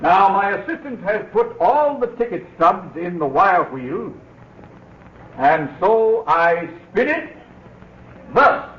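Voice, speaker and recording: A high-voiced, theatrical raffle auctioneer. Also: male voice, big pitch swings, slow, reverberant, a little hiss or hum, exaggerated voice. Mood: showy. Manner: theatrical, announcing. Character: smooth showman auctioneer running a raffle. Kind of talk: 1940s radio acting. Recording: dull old radio broadcast.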